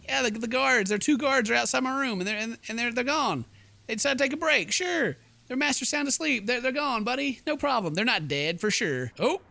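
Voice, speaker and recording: A man's voice: very high voice, animated, brisk, creaky, pushed and bright. A man hosting a let's play, reading game text aloud in a playful mock-dramatic way with jokey asides. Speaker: gaming video host.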